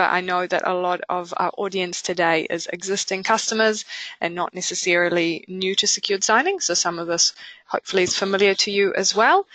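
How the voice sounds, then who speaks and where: A woman with a New Zealand accent presenting a product webinar in a conversational way, often pausing with fillers. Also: on the low side, sharp tone, dry recording, low-quality recording. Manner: conversational, with frequent filler pauses.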